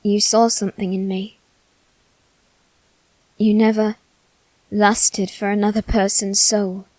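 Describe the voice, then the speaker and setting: A woman voicing a melancholy teenage noble boy, resigned to death, in a soft, deliberate ASMR roleplay monologue with dramatic pauses. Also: medium pitch, little pitch movement, no echo, close to an everyday voice, low-quality recording. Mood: bitter, tender.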